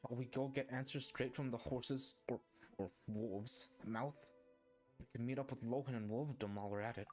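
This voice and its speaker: A woman's voice: extremely low pitch, moderate pace, a little mellow, soft background music. A woman streaming a video game, giving casual reactions as she plays.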